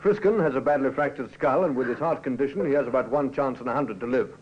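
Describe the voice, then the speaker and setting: An actor playing an authoritative, easily indignant doctor with clipped, commanding speech in an old radio drama. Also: male voice, mid-range voice, fast talker, lightly acted. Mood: urgent. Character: brisk, indignant, authoritative male family doctor. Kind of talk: 1950s radio drama acting. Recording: dull, noisy old radio recording.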